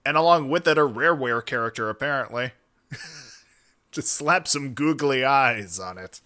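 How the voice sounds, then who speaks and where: Very bright-voiced man reacting casually. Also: mid-range voice, expressive, moderate pace. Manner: profane, rambling. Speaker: streamer.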